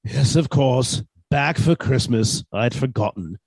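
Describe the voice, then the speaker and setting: An actor playing a meek, henpecked professor, mild and deferential, in a suspense radio play. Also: male voice, mid-range voice, slow, gravelly, a little mellow, husky, no room sound, exaggerated voice. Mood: meek, resigned. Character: meek, henpecked British professor husband, quietly fussy.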